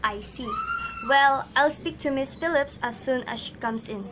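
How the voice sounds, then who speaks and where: Woman playing a high-voiced, formal hospital receptionist. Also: a little slow, noisy background. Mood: polite, formal. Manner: formal, courteous, unruffled. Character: polite, businesslike hospital switchboard woman. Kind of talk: amateur radio drama acting.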